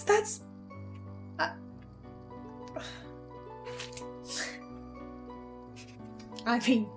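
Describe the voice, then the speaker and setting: High-voiced anxious female researcher, played by a woman. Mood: agitated, troubled. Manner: hesitant, stammering, intense. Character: anxious, obsessive female researcher puzzling out theories. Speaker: host playing an in-character researcher. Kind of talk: in-character analytical monologue.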